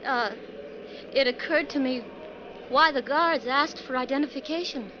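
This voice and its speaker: A woman's voice: sits high, moderate pace, natural voice. A woman playing a nervous, troubled wife on a bus trip in a 1940s radio drama, speaking hesitantly and earnestly. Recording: dull, noisy 1940s radio recording.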